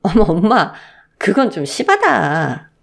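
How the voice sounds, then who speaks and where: A woman's voice: medium pitch, wildly swooping pitch, takes it slowly, creaky, exaggerated voice. A woman playing a snide, catty sister-in-law in a melodramatic audio story. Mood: mock reproach. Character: snide adult sister-in-law siding with her mother.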